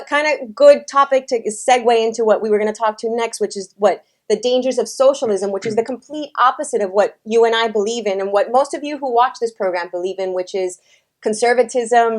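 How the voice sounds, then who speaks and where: Emphatic woman hosting a political chat. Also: on the high side. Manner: emphatic, quick to voice indignation. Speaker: talk show host.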